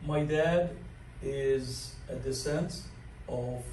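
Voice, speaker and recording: An older man from Morocco recounts his life in an unhurried, rambling way, dotted with ums and soft laughs. The recording is distant and roomy, with a faint hiss underneath. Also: mid-range voice. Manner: rambling, chuckles often, many fillers. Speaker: interview guest, dining director. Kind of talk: conversational life-story interview. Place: large learning center room. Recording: echoey room from a distance, faint noise.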